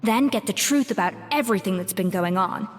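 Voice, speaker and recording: A woman playing a proud, thoughtful young female chef in a video game, speaking in a measured, articulate way. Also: mid-range voice, expressive, in a large hall, lightly acted. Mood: determined. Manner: measured, articulate, reflective storytelling. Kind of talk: scripted video game dialogue. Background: background music.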